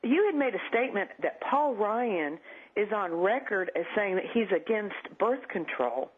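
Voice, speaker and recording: A woman's husky voice comes through a narrow, muffled phone line. She argues politics as a radio caller, pressing her challenge insistently. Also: mid-range voice, sing-song, moderate pace. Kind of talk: political argument.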